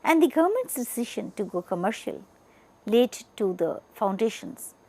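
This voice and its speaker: A woman's voice: a little high, sing-song, moderate pace, dark tone, slightly rough. A woman with an Indian accent giving scripted educational narration as a media education presenter.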